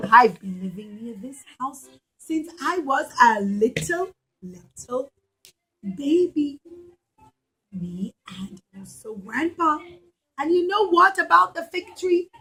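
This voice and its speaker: A woman acts out a kindly grandmother of seventy in a children's puppet show. Her voice is high and slow, and she explains things patiently, leaning on key words. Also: a bit bright, rough recording.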